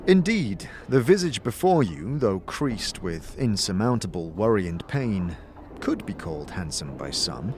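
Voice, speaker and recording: A man narrating a dramatic fantasy story in the second person, with a theatrical, expressive delivery. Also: fairly low pitch, a little slow, slight background noise. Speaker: narrator and game master voice. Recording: music behind the voice. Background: music.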